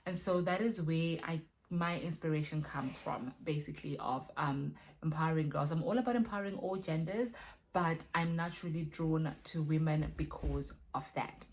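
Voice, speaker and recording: A woman's voice: sits low, moderate pace, a little hiss or hum. A woman from Zimbabwe, a mother and women's ministry leader, chatting casually through a self-filmed Q&A, recorded on her phone, with many fillers and tag questions. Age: adult with children.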